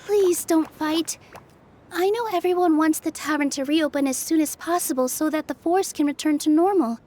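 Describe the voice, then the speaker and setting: Casting: woman as kind young fairy maiden for a game; very high, soft, sweet, earnest. Faint ambience behind. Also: normal speed, a little hiss or hum, natural voice. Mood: gentle, conciliatory. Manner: gentle, earnest, thoughtful. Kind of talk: video game voice acting.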